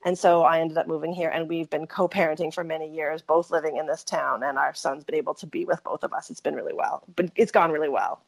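A woman's voice: deep voice, steady tone, fast talker, creaky, hardly any pauses, low-quality recording. A middle-aged woman from Saskatchewan, Canada, telling her story as a podcast guest in a chatty, rambling way that often corrects itself. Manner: chatty, rambling, frequent self-corrections and laughs. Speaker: interview guest. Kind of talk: conversational storytelling.

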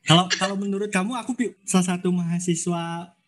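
A man's voice: sits high, steady tone, brisk, fairly bright tone. A man, hosting a casual podcast in a chatty, informal way that often repeats words. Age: 20s. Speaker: podcast host, law student.

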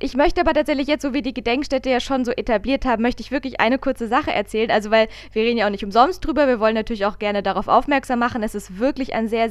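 A woman's voice: a little high, no room sound, clean studio sound. A woman talking casually as a podcast host, telling things in a rambling, emphatic way. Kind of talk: casual conversational podcast talk.

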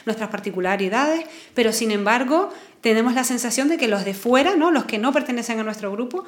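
A woman's voice: on the high side, expressive, brisk. A woman with a Canarian Spanish accent, co-hosting a podcast and explaining ideas while often checking in with the listener. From Canary Islands. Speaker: podcast co-host. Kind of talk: casual explanatory conversation. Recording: roomy echo.

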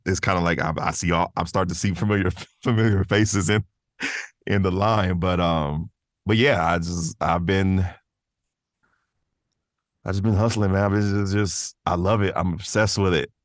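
A man's voice: deep voice, steady tone, moderate pace, no echo, clean studio sound. A man talking casually and thoughtfully as a guest in an interview about his work, often restarting his phrases. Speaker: voice actor, podcast guest. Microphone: close studio microphone in a vocal booth.

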